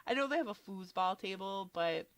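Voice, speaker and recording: A woman with a slight cold, a little nasal, casually narrating a game build in a relaxed, chatty way with lots of fillers. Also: mid-range voice, moderate pace, dry recording. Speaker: game building video creator.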